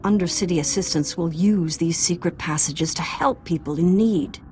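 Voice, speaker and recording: Woman playing a wry, low-voiced undercity contact. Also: moderate pace, mellow, a little hiss or hum, natural voice. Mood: wry, friendly. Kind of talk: video game dialogue.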